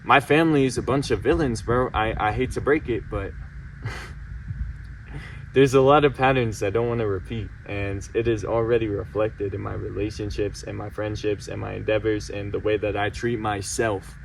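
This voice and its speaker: A man's voice: fairly low pitch, fairly even intonation, moderate pace, vocal fry, far from the mic, slight background noise, low-quality recording. A young man about to turn eighteen, venting his frustration in a heated, insistent way and repeating himself. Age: late teens. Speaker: vlogger. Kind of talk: emotional personal vent to camera.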